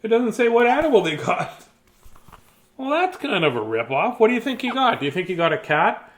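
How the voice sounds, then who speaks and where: A man's voice: high-pitched, sing-song, a little fast. A man reading a children's bedtime story aloud expressively, with playful, theatrical delivery and chatty, casual asides.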